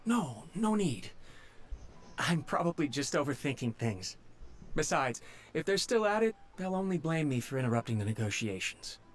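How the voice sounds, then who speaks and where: A man's voice: on the high side, very animated, moderate pace. An actor playing a nervous young male servant who stammers and speaks humbly. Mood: worried.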